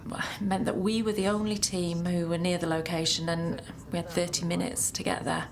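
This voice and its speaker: An actress playing a shaken, regretful engineer who recounts a crash haltingly in a training film drama. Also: female voice, lowish voice, level tone, a little hiss or hum, close to an everyday voice. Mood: weary, reflective. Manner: halting, pausing mid-thought. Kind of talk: reflective first-person testimony in a training film drama.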